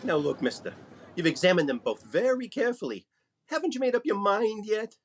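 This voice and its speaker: Male actor as weary, impatient sales clerk, animated old-radio comedy delivery, noticeable noise behind. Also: high voice, fairly quick. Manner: impatient, sarcastic. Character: exasperated, worn-out male department store clerk.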